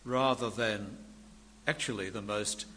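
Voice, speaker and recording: An older man preaching a sermon with a quiet voice and dry humour. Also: fairly low pitch, a little slow. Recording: long hall reverb, faint room noise.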